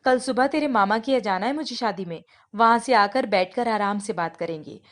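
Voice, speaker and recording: A woman voicing a weary, loving middle-aged mother in an animated story, tired and placating. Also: mid-range voice, a little fast, close to an everyday voice. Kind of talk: animated story dialogue acting.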